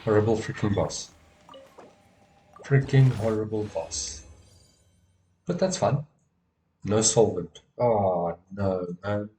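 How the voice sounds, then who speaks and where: A man's voice: lowish voice, slow, pauses often, warm tone. A man streaming a video game, commenting casually to himself, often repeating short phrases and swearing mildly. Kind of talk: casual live gameplay commentary, talking to himself. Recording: game music behind the voice. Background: video game music.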